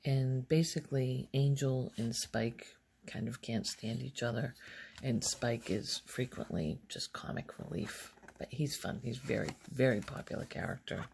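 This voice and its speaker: A woman speaking softly in casual ASMR style, often slipping toward a whisper, halting as she thinks aloud. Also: extremely low pitch, gravelly, slight background noise. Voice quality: soft, often breaking into whisper. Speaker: ASMR video host. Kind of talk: soft-spoken ASMR, casual rambling show-and-tell.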